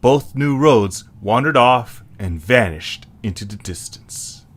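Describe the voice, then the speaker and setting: A man's voice: mid-range voice, expressive, slow. A man narrating a scripted story.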